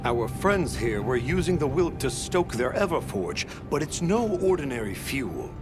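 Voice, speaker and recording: Animated, inquisitive researcher. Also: male voice, medium pitch, measured pace. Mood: intrigued. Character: inquisitive, enthusiastic male researcher explaining discoveries. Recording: music under the voice.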